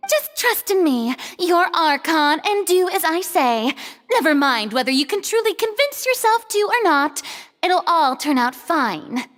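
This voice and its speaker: An actress playing a flamboyant, evasive young female goddess who speaks in a theatrical, defensive, dramatic way, heard as game audio with reverb over soft music. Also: extremely high pitch, big pitch swings, a little slow, heavily acted. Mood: haughty.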